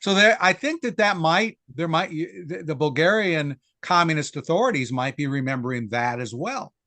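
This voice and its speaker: A man's voice: on the high side, lively intonation, moderate pace, sharp tone, no room sound. An older man with an American accent, speaking as a historian guest in an interview and thinking aloud in a discursive way. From United States.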